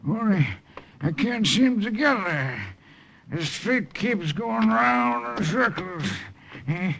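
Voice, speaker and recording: An actor playing an old, half-cracked, wheedling drunk who rambles in folksy fashion, in an old-time radio drama. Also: male voice, sits high, very animated, slow, vocal fry, fairly bright tone, slight background noise, exaggerated voice. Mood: drunken pleading. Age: older. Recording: vintage radio drama recording.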